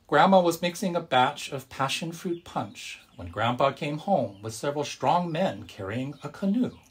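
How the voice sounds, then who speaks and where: A man's voice: fairly high pitch, animated, moderate pace, breathy edge. A man speaking as a storyteller and service leader, addressing children and families in simple, friendly language.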